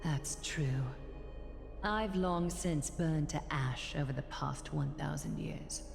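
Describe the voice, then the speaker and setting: A woman voicing a burnt-out, resolute thousand-year-old female leader in a video game, grave, measured and restrained. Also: low-pitched, vocal fry, exaggerated voice. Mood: solemn, resolute. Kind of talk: scripted game voice acting. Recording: long hall reverb, loud music.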